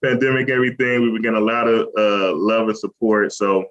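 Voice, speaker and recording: A man who owns a restaurant, talking in a relaxed, rambling way with lots of fillers as an interview guest, from Cleveland. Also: fairly low pitch, fairly quick. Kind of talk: casual interview talk. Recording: lo-fi muffled audio.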